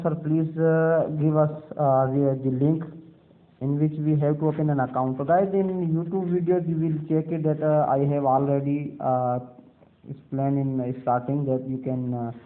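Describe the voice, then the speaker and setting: A man from Pakistan walking viewers through a screen-recorded tutorial, halting with many fillers and addressing them as guys. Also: a little high, level tone. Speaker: video creator. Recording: tinny phone-like sound.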